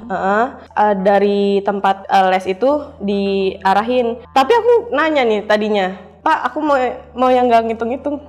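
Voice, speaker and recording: A woman's voice: medium pitch, steady tone, moderate pace. A young adult woman, telling her story informally as a guest in a casual interview. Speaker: podcast guest, cyber security professional.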